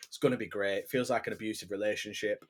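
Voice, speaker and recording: A man's voice: fairly low pitch, fairly even intonation, quick pace. A man co-hosting a casual podcast, joking, swearing often and laughing a lot. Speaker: podcast co-host. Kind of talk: casual banter.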